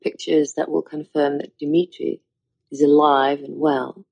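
An actress playing a calm, scheming woman in a TV drama, calculating and precise. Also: low voice, a little slow, gravelly. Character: cool, scheming woman laying out a plot.

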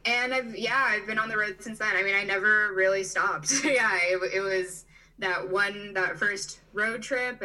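A woman's voice: on the low side, pushed and bright, distant mic, poor audio. A young woman in her twenties from Brazil and Chicago, chatting casually as an interview guest and filling her talk with "like" and "you know". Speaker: interview guest, digital nomad.

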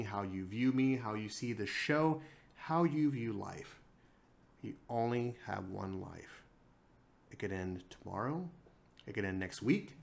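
A man at a middle pitch delivers a passionate solo rant on his own podcast. He leans hard on repeated lines and lets his pitch and volume surge and drop. The sound comes from a single mic on a laptop. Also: creaky. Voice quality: self-described monotone voice. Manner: emphatic and repetitive, with big swings in pitch and loudness. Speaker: podcast host. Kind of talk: impassioned solo podcast monologue.

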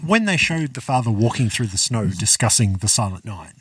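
A man's voice: medium pitch, very animated, brisk, a bit bright, husky, no room sound, distant mic. A man co-hosting a podcast, chatting casually about an old film in a dry, wry way and correcting details. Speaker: podcast co-host.

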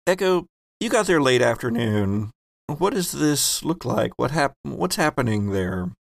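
Slow gravelly man running a game. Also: medium pitch, very animated, no room sound, clean studio sound. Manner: slow, halting narration with fillers. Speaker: game master. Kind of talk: narrating a tabletop game.

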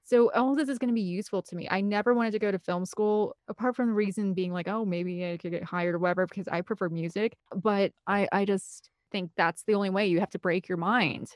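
A woman's voice: mid-range voice, steady tone, quick pace, few pauses, no echo. A woman speaking as an interview guest in a casual, rambling and emphatic conversational way. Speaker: interview guest, film composer and director.

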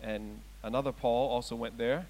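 A man's voice: mid-range voice, steady tone, normal speed, a little hiss or hum. A middle-aged man preaching a sermon as pastor, emphatic and asking his congregation rhetorical questions. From Thailand. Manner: emphatic, rising loud on scripture readings and questions.

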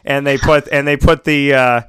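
A man's voice: mid-range voice, steady tone, moderate pace, fairly bright tone, smooth, no echo. An American man hosting a casual radio show, talking in a rambling, emotional, self-interrupting way.

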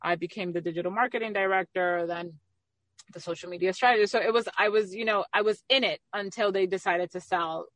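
A middle-aged woman gives candid, conversational interview answers in a talkative way. Her voice sits at an ordinary pitch for a woman, and the recording is close and dry with no room sound. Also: fairly even intonation, a little fast, fairly bright tone, no room sound. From Dominican Republic. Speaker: interview guest, magazine owner and entrepreneur.

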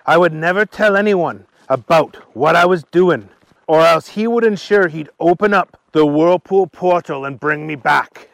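A man's voice: high-pitched, creaky, fairly bright tone, dry recording, full character voice. A man voicing an eager, kindly young adventurer, earnest and colloquial, in a children's story.

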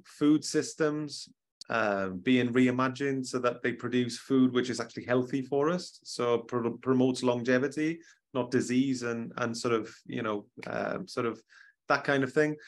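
Man, rambling spiritual podcast guest. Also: mid-range voice. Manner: rambling, many ums and restarts. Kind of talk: casual conversational interview.

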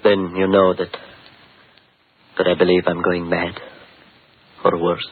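An actor playing a cultured, haunted count, formal and brooding, in a 1940s radio horror drama. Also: male voice, deep voice, monotone, slow, breathy edge, phone-quality sound, full character voice. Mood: troubled, brooding. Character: cultured, haunted Carpathian count, fearful of madness. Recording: thin 1940s radio recording.

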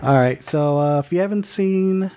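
A man's voice: fairly high pitch, normal speed, warm tone, clean tone. A man co-hosting a podcast in casual chat, laid-back and slangy, with lots of short agreeing sounds. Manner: laid-back, slangy, frequent backchannel and laughs. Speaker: podcast co-host.

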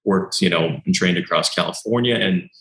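Doctor guy, deep voice, chatty and animated, lots of "you know", roomy echo. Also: moderate pace. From Canada. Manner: animated storyteller, acts out quoted exchanges, many "you know" fillers. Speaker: doctor and company founder. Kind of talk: conversational interview answers.

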